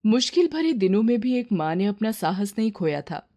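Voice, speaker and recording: A woman's voice: mid-range voice, big pitch swings, normal speed, mellow. A woman reading a scripted moral story as its narrator.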